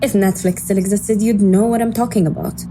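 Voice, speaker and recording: A woman's voice: medium pitch, steady tone, brisk, background noise, lightly acted. An actress playing a sarcastic, casual woman tech expert in an audio drama. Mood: breezy, sardonic. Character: sardonic, breezy woman, AI creator and tech expert.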